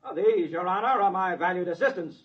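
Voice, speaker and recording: A persuasive American defense lawyer in an old radio play. He is high-voiced and husky, with an oratorical, polite delivery. The recording is dull. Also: a little fast. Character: smooth-talking, persuasive male defense lawyer in court. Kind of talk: 1950s radio drama acting.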